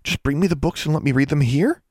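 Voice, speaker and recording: A man's voice: fairly high pitch, quick pace, mellow, dry recording, clean studio sound, voice pushed up, natural voice. A man voicing a determined, caring schoolgirl in an audiobook, earnest and direct. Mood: hopeful, earnest. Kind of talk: audiobook character voice.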